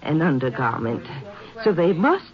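Chatty, animated small-town saleswoman. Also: deep voice, measured pace. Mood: chatty, helpful. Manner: chatty, fussy, hesitant when recalling. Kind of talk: 1950s radio drama acting. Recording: noisy, muffled vintage 1950s radio recording.

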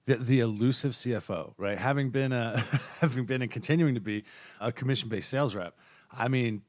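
A male podcast host with a mid-pitched voice, talking casually in an interview. He is chatty and tends to double back on his sentences. The sound is dry, with very little room in it. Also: dry recording. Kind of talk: conversational interview hosting.

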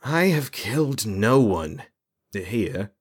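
Man doing an arrogant storybook mage, condescending, slow, husky. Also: lowish voice, sing-song, pitched above the performer's own voice, exaggerated voice. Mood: haughty. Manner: condescending, haughty. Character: arrogant, disdainful male mage, aloof prisoner.

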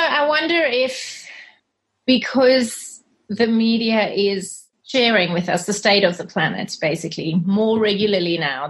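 A middle-aged woman with a South African accent, chatting earnestly and a little hesitantly as a podcast guest. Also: medium pitch. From Zimbabwe and South Africa. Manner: earnest, hesitant, self-deprecating, laughs softly. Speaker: cleaning company cofounder, guest. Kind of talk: casual interview chat.

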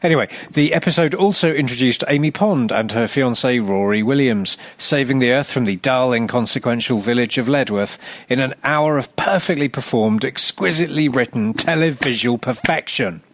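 A man's voice: mid-range voice, normal speed, few pauses, no echo. A man reading a scripted comic narration in a dry, mock-serious manner, prone to grand overstatement. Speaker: writer and reader of a comic A to Z segment.

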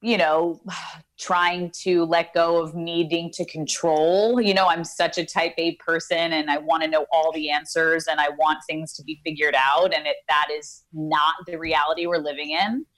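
Lower-voiced woman in her thirties from Queens, chatty and self-deprecating, guest on a video call. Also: flat delivery, normal speed, a bit bright, low-quality recording. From Queens, New York. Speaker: actress guest. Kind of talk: casual interview over video call.

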